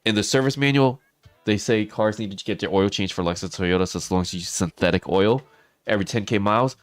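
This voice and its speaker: Man, hoarse, raspy, very low voice, dry close sound, faint music behind. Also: quick pace, dry recording. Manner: chatty, talks to viewers by name, laughs often. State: hoarse, losing his voice. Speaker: streamer. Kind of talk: casual livestream chat.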